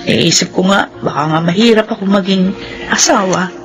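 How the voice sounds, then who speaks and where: A woman voicing a forgiving, devoted wife who speaks softly and earnestly, in a radio drama. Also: medium pitch, normal speed, music in the background, natural voice. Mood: tender. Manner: soft, tender, earnest.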